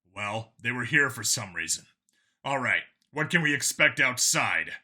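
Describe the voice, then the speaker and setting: Guy reading as a gruff cop squad leader in an audiobook, terse, decisive, really forceful sharp voice. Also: mid-range voice, lively intonation, measured pace, voice pushed down, heavily acted. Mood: terse, steady. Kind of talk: audiobook character voice.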